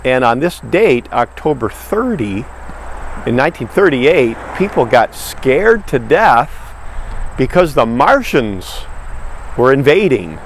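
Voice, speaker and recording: A man's voice: mid-range voice, sing-song, takes it slowly, slight background noise. A man presenting an informal history talk in a conversational way, with folksy asides. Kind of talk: informal history lecture with slides.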